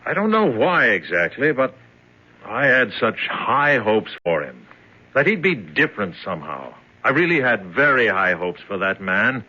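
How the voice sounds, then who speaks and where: An actor playing a commanding, patronizing male prison chief, speaking deliberately and emphatically in an old-time radio drama. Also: on the low side, sing-song, bright, forceful tone, breathy edge, lo-fi sound, heavily acted. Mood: defensive, regretful.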